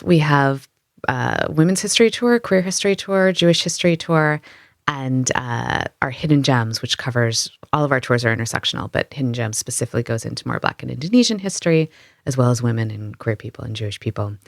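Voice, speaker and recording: A woman who runs history tours in the city, answering interview questions in an animated way, thinking aloud with many fillers in the local language. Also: extremely low pitch, fairly quick, no room sound, clean studio sound. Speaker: tour guide and storyteller. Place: Amsterdam.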